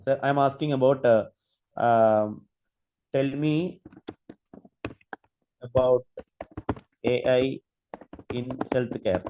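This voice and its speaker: A man giving an online training lecture, halting, with many fillers and restarts while he demonstrates. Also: mid-range voice, darkish tone, a little hiss or hum. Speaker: guest lecturer.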